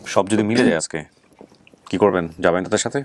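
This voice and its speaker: A man hosting a conversational interview, briskly prompting people with short questions and directing them. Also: sits low. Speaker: host. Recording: clear background noise.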